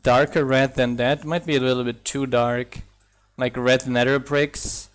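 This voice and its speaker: A man's voice: mid-range voice, fairly even intonation, a little slow, clear, resonant tone. A young man whose first language is German, with a slight German accent, chatting casually and thinking aloud while streaming a building game. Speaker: mod developer streaming gameplay. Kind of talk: casual live-stream chat while playing.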